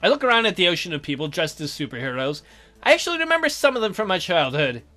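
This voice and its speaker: A man hosting a let's play, reading a visual novel aloud with expressive, wisecracking commentary. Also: high voice, fast. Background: music.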